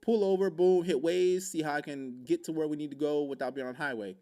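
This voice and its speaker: Gravelly-voiced man telling a story. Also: on the high side, a little fast. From Hawaii. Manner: animated, joking, with vocal sound effects and laughs. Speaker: podcast speaker. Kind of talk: casual storytelling.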